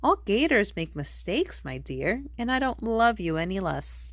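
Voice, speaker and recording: A caring mother alligator from a picture book, acted by a woman reader. She speaks in a measured, motherly way, patient yet firm, with a dry, close sound. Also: mid-range voice, very animated, no echo. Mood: forgiving.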